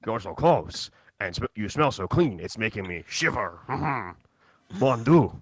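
A man's voice: fairly low pitch, expressive, measured pace, husky, dry recording, full character voice. Man acting a flustered French servant, coy, theatrical, amorous, exaggerated French accent, dry close sound. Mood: breathy, amorous. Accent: put-on French.

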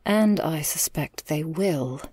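A woman playing a weary, commanding vampire mistress in an audio roleplay, speaking in formal, poetic phrases with a breathy, near-whispered voice. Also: sits low, lively intonation, mellow, slight background noise. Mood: grave, commanding. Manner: slow, poetic, formal diction.

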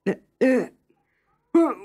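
A woman's voice: takes it slowly, darkish tone, natural voice. A woman voicing a vain, blustering male ostrich king from a storybook. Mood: flustered.

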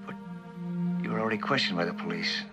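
A man's voice: on the low side, quick pace, over loud music, natural voice. An actor playing a weary, guilt-ridden middle-aged man, subdued and terse, in a television drama. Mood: troubled, resigned. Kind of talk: 1960s television drama acting.

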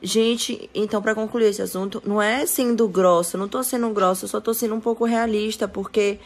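A woman's voice: mid-range voice, fairly even intonation, brisk. Young woman chatting to fans on phone. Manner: chatty, addresses fans as "gente", repeats "tá bom?"; firm but soft-spoken when scolding fans. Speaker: dancer and influencer talking to fans. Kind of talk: casual phone selfie stories. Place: bedroom and car, indoors. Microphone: phone at arm's length.

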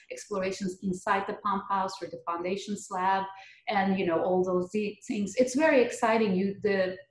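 A woman in her thirties with a Turkish accent, giving conversational interview answers as an engineer on a podcast. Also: medium pitch. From Turkey. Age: 30s. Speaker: podcast guest, geotechnical earthquake engineer. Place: living room at home, indoors. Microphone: computer mic on a video call. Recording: roomy echo on video call.

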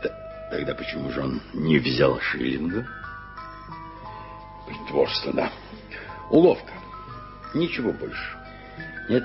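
An actor playing an older, fussy gentleman who muses aloud to himself. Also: lowish voice, vocal fry, heavily acted. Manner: musing, fussy, talks to himself. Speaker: actor in a radio play. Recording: dull old radio-play tape.

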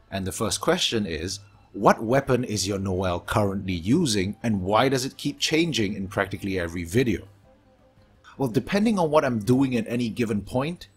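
A man from Singapore with a Singaporean English accent, reading a scripted gaming Q&A voice-over in a steady, measured way from his home hobby room, his s sounds sharp and a low hum behind him. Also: breathy edge. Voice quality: strong sibilance, low hum from aquarium pumps. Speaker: gaming YouTuber.

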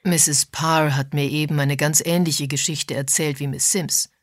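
A woman's voice: low voice, fairly even intonation, unhurried, dry recording, studio quality. A woman voicing a composed, practical man, a methodical amateur sleuth in an audiobook. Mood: explanatory. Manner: methodical, explanatory.

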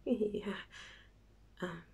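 A woman playing a nervous, giddy young woman in an ASMR roleplay, stammering softly and often whispering in a halting, giggly way. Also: medium pitch, exaggerated voice. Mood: flustered, happy. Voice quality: soft, breathy, often whispered. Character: nervous, giddy young woman before and after first kiss.

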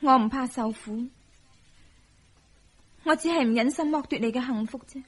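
Radio drama young wife: self-sacrificing, sorrowful, Hong Kong Cantonese accent, high-pitched, breathy delivery. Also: female voice, normal speed. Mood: anguished, resigned.

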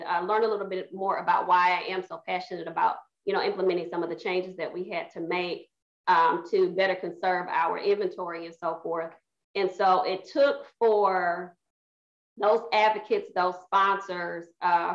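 Middle-aged female moderator, lowish pitch, earnest and measured; muffled, echoey video call. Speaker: panel moderator. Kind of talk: personal account on a virtual panel. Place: bright home room with window. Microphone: computer mic on video call.

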